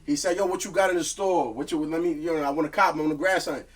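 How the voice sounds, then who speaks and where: A man hosting a podcast in casual, slangy talk, full of joking and hype shout-outs. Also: fairly high pitch, racing. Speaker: podcast host. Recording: off-mic, distant room sound.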